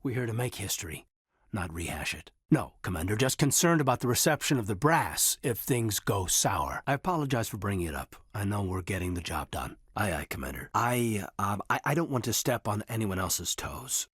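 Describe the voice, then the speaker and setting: Guarded military officer, sci-fi game dialogue, husky, expressive pitch, earnest, naturalistic. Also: male voice, normal speed, no echo, studio quality, exaggerated voice. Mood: dutiful, restrained, then awkward, hesitant. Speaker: video game voice actor.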